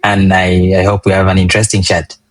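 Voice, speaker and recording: A young man from Uganda, a public health physician, answering interview questions in an earnest, measured way with frequent ums and restarts. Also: low-pitched, completely flat delivery, dry recording.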